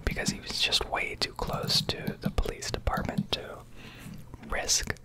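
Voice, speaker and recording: A woman whispering a rambling, casually sweary ASMR commentary over gameplay, close to the microphone. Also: measured pace. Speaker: game streamer.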